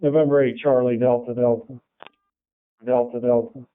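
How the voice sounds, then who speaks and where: A man's voice: mid-range voice, fairly even intonation, normal speed, clean tone, recorded from a distance. A man speaking as an amateur radio operator in an exchange over a radio link. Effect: radio transmission. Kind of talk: ham radio exchange with spelled call signs. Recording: tinny, phone-like radio link.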